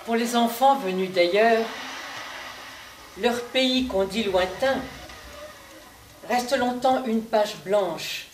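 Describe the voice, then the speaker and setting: A woman performer giving a measured theatrical recitation of testimonies on a stage. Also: on the high side. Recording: echoey stage, distant mic, faint noise.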